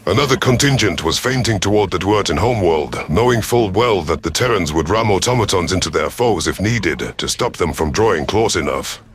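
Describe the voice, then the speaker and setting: A man narrating a scripted first-person science fiction story in a formal, deliberate storytelling manner. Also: sits low, expressive, slight background noise.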